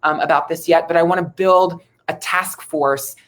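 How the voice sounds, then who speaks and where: A woman from Ohio with an American accent, answering interview questions in an earnest, measured, conversational way as a local politician. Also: lowish voice, steady tone. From Ohio, United States. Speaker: city council member, interview guest.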